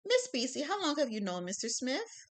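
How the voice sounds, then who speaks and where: A woman's voice: high voice, huge pitch swings, normal speed, pitched above the performer's own voice. A woman voicing a confident female defense lawyer in a classroom courtroom skit. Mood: assured.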